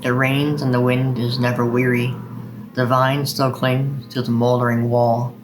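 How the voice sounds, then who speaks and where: A man's voice: medium pitch, flat delivery, measured pace, music in the background, low-quality recording. A man hosting a video of poetry readings. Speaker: video host reading poems.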